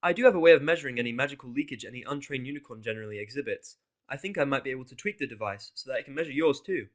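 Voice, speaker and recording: A man voicing an eager, bookish female unicorn scholar with an excitable, inquisitive, lecturing manner. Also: medium pitch, racing, close to an everyday voice.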